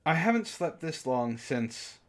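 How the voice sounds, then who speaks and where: A man's voice: on the high side, big pitch swings, unhurried. A man hosting a comic book club, reading a story aloud and breaking off with exasperated, incredulous commentary. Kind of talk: reading fan fiction aloud with mocking commentary.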